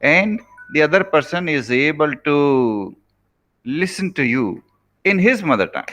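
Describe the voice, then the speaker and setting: An earnest Indian man delivers a lecture in English, his first language being Rajasthani. His voice is bright and moves up and down a lot in pitch, and he urges his listeners by repeating his points. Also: expressive, unhurried, soft background music. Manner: earnest, repeats key phrases, pleading. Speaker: professor giving a lecture. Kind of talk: recorded lecture to students.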